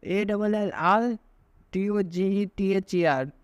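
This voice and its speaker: A man teaches vocabulary in a high, bright voice, recorded close and dry with no room sound. He spells out letter by letter and drills each answer by asking it back. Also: takes it slowly, smooth, dry recording, dull audio. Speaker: exam coaching teacher. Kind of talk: classroom lecture.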